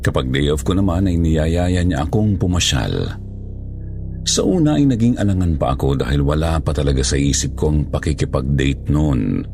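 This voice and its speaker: A man with a gravelly, husky voice reading a scripted first-person horror story as its narrator. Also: very deep voice, expressive, moderate pace, music in the background.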